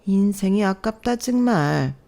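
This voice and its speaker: A woman's voice: mid-range voice, lively intonation, unhurried, creaky, dark tone. A woman voicing haughty older sisters-in-law who mock and belittle the young bride. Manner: mocking, condescending, needling.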